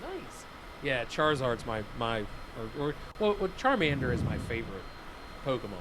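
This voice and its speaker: A man hosting a livestream, chatting casually and excitedly, often restarting his sentences. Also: medium pitch, normal speed, a little hiss or hum, low-quality recording. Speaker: livestream host. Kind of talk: casual gaming chat.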